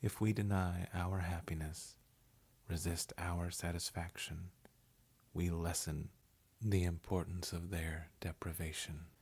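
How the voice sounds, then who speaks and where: A man voice-over artist giving a measured, unhurried, hushed reading of essay and poetry. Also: deep voice, flat delivery, breathy edge. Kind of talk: scripted narration of essay and poetry.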